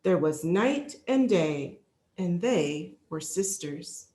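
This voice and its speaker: A woman voicing a kindly shooting star who tells an ancient tale, measured and inviting. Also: on the low side, expressive, mellow. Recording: roomy, echoing sound.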